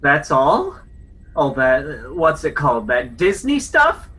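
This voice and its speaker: Radio play traditionalist, man acting: pompous, exasperated, sharp husky voice that swings up and down indignantly. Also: on the high side, huge pitch swings, slow, exaggerated voice. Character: indignant, stubborn male defender of old fairy tales.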